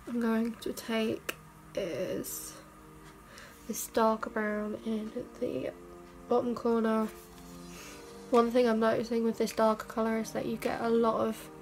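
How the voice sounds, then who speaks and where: A young woman, a beauty vlogger, chatting casually through a makeup test in her bedroom; she sounds croaky and stuffed up from a cold and rambles with self-mocking asides. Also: fairly high pitch, poor audio. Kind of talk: casual makeup tutorial chat. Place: bedroom, indoors. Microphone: camera mic at arm's length.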